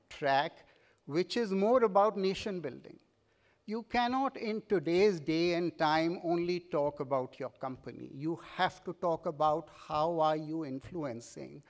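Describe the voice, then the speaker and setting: Earnest Indian English keynote speaker. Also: male voice, fairly high pitch, darkish tone. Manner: earnest, urging, repeats words with fillers. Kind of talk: conference keynote speech. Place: large conference stage, indoors. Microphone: podium mic.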